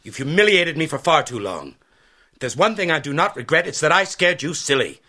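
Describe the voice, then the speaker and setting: An actor in a radio drama playing a resentful, indignant hotel deputy manager with a formal, tense manner. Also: male voice, a little high, very animated, a little fast, bright, forceful tone, heavily acted. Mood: bitter.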